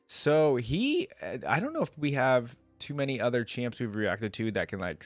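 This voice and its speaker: A man's voice: mid-range voice, no echo. A man giving casual, off-the-cuff reaction commentary as a host, musing aloud as he goes.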